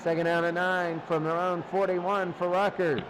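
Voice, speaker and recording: High-voiced man calling football over noise. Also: flat delivery, normal speed. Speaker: play-by-play sports commentator. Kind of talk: American football radio-style play-by-play commentary.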